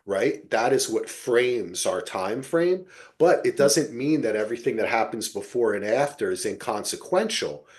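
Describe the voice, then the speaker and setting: An American man hosts a casual podcast chat in a mid-pitched voice. His delivery swings widely up and down. He swears freely and slips into imagined bits of dialogue as he goes. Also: expressive, normal speed. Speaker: podcast host. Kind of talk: casual chat about television.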